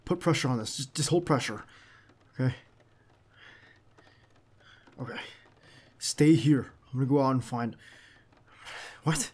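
Guy playing a protective bodyguard in an asmr roleplay, husky breathy male voice, tense n earnest, kinda halting. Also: medium pitch. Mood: worried, insistent. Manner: halting, urgent, reassuring.

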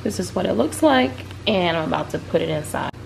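A woman's voice: on the low side, expressive, brisk, vocal fry, darkish tone. A woman in her thirties chatting informally as she cooks for a home vlog, thinking aloud. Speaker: vlog host, home cook. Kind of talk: casual cooking vlog chat. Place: home kitchen, indoors. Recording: very noisy kitchen camera audio.

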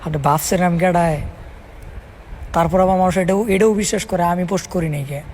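A Bangladeshi speaker talks at a rapid clip in casual, everyday conversation. The manner is insistent and keeps checking for understanding, over faint background noise. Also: medium pitch, fairly even intonation, darkish tone.

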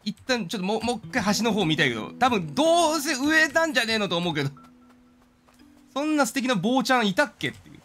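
Male game streamer: fairly high register, very lively pitch, playful thinking-aloud commentary over background music.

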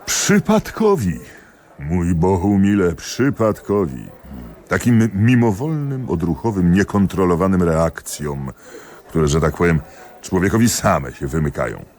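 A man acting in a radio play as a cynical, jealous middle-aged examining magistrate who speaks emphatically and haltingly. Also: sits low, sing-song, a little mellow. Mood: confiding. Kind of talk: theatrical radio drama dialogue.

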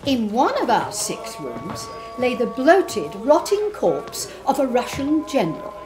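A woman's voice: fairly high pitch, huge pitch swings, measured pace, music in the background. A woman performing a prim, exacting Victorian nurse in a precise, formal, indignant dramatic poetry monologue. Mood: stern, indignant.

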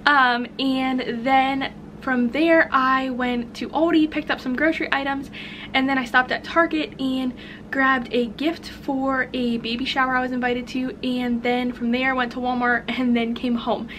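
A woman's voice: high-pitched, little pitch movement, unhurried, a bit bright, slight background noise. A young American woman chatting informally and casually, as a vlogger talking to her viewers. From United States. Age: young adult. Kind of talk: casual vlog chat.

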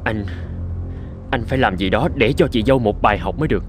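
A man voicing an indignant, protective younger brother in an animated drama, emphatic and earnest. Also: lowish voice, fast talker, soft background music, natural voice. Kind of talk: Vietnamese animated drama dubbing.

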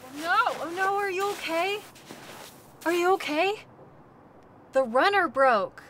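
A woman playing a cheerful, reassuring dog-sled musher in a naturalistic audio roleplay, chatting gently to her passenger. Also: extremely high pitch, lively intonation, a little slow, a little hiss or hum, full character voice. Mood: startled, concerned. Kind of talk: audio roleplay, naturalistic second-person acting.

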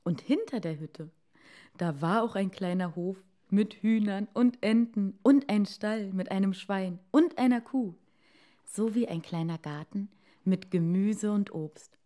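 A woman narrating a scripted fairy tale as a storyteller, in an expressive and deliberate manner for children. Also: medium pitch.

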